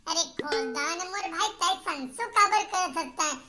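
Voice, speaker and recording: A man playing a chummy, teasing village friend in a cartoon, with a Chhattisgarhi accent and a pitched-up voice. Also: lively intonation, measured pace, background noise. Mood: teasing, friendly. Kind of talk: cartoon comedy dialogue.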